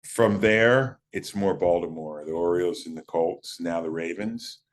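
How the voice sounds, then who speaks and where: A man in his fifties with a very low voice tells stories at an easy pace. He often pauses and adds fillers as he recalls the past in an interview. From United States. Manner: relaxed storyteller, halting with fillers. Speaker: guest, former college running back. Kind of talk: casual interview talk.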